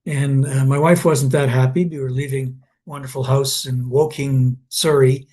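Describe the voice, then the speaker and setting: A man's voice: mid-range voice, fairly even intonation, normal speed, darkish tone. An older man from Canada in an oral history interview, telling anecdotes with many restarts and fillers.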